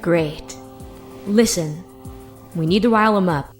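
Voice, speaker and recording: A woman's voice: lowish voice, a little slow, over music, natural voice. A woman voicing a sassy, foul-mouthed sidekick in an amateur crime film dub, casual in her talk. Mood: wary.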